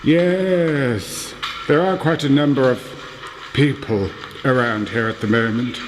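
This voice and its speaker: An actor in a radio play as a brisk, polite bishop with an authoritative, businesslike way of talking. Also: male voice, mid-range voice, lightly acted. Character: brisk, businesslike bishop proud of his technical crew. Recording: long church-like reverb, faint noise.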